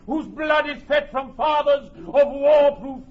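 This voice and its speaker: An actor playing a heroic, commanding young English warrior king, declaiming in a rousing Shakespearean radio drama style. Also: very high-pitched. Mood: rousing, exhorting. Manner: declamatory, rousing, drawn-out emphatic phrases. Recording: muffled old radio recording.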